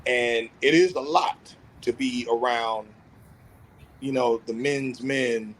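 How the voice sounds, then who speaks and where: A man's voice: mid-range voice, steady tone, slow pace, fairly bright tone, a little hiss or hum, poor audio. A man in his thirties speaking candidly and reflectively about himself in a casual podcast conversation. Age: 30s. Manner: earnest, self-reflective, opens up about feelings. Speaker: podcast panelist.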